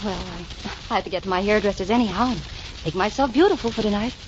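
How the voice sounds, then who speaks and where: An actress playing a romantic, discontented young socialite fiancee in a 1940s radio drama. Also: female voice, mid-range voice, quick pace, lightly acted. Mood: coaxing. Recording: dull, noisy 1940s radio recording.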